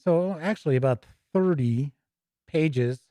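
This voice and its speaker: A man hosting a casual, unscripted tabletop game review, conversational and opinionated, thinking aloud with pauses mid-sentence. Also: fairly high pitch, dark tone, dry recording. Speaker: host reviewing tabletop games.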